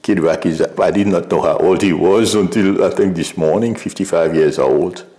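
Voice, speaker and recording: A man's voice: low-pitched, moderate pace. A man from Haiti whose first language is Creole, giving rambling commentary on a livestream as a psychic. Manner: rambling, restarts often, soft chuckles. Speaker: psychic streamer.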